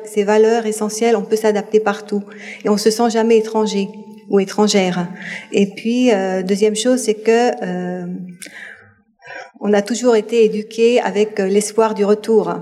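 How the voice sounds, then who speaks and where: A woman's voice: mid-range voice, mellow. A woman in her fifties from France, speaking as a guest on a public panel, hesitant with many fillers and restarts. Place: public hall with audience. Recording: reverberant hall.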